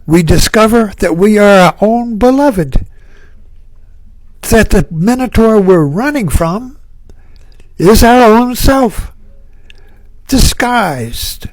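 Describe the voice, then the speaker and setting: A man giving a slow, reflective spiritual talk, musing aloud and repeating phrases for emphasis. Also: sits high, a little mellow, no echo. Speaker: spiritual speaker. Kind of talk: reflective spoken talk on myth.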